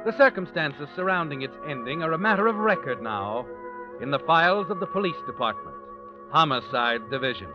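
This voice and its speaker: An American man playing a mysterious, all-knowing narrator of dark tales in a theatrical, deliberate 1940s radio mystery style. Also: a little high, lively intonation, lightly acted. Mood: grave storytelling. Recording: muffled old radio recording, prominent music behind.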